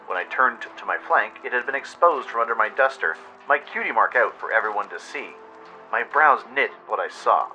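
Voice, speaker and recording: A man's voice: medium pitch, lively intonation, normal speed, sharp tone, lo-fi sound. A man reading a dramatic scripted adventure story as narrator. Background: music.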